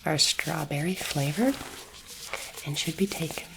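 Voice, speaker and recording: A woman whispering gently and reassuringly in an ASMR roleplay, acting as a calm, kindly alien doctor, close to the microphone. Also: sits low, creaky, very noisy. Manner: soothing, gentle, reassuring, unhurried. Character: kindly, calm female alien space doctor examining a human. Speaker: ASMR performer playing an alien space doctor.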